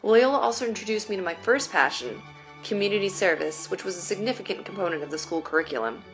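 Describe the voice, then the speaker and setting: A woman in her late twenties from New York City, raised on Mandarin Chinese, reads a personal essay in a measured, deliberate way. Her voice carries a steady vocal fry at a middle pitch, and soft music plays faintly underneath. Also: poor audio. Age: late 20s. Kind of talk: reading a personal essay aloud.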